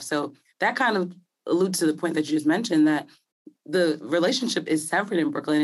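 Slightly hoarse woman hosting a podcast. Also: sits low. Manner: chatty, laughs easily. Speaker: podcast host. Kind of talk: casual sports talk.

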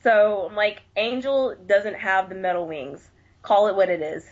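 A woman's voice: mid-range voice, unhurried. A woman panelist giving blunt, exasperated opinions in a casual conversation about film. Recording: dull, muffled lo-fi audio.

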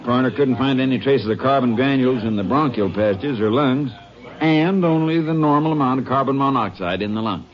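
A man's voice: medium pitch, a little fast, close to an everyday voice. Man playing a folksy small-town sheriff, muffled radio. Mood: explaining. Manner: folksy, plain-spoken. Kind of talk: 1950s western radio drama acting. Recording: muffled old radio recording, background voices.

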